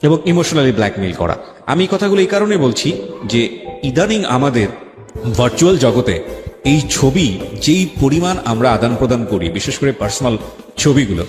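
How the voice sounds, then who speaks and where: A man with a Bangladeshi accent hosting a radio talk show, speaking deliberately and emphatically to listeners and a guest. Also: mid-range voice, big pitch swings. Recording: echoey reverb, background music. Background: music.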